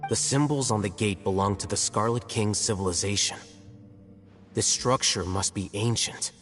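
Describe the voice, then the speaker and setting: A man's voice: lowish voice, normal speed, fairly bright tone, lightly acted. A man playing a cool, aloof and intellectual young scholar in a video game, composed, dry and pedantic. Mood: composed, curious. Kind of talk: anime game voice acting. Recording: long hall reverb, music behind.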